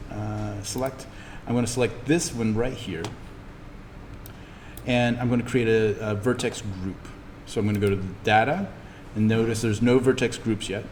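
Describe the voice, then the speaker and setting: A man teaching an introductory animation class, talking informally through software steps and thinking aloud as he demonstrates. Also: fairly low pitch. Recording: steady noise behind the voice.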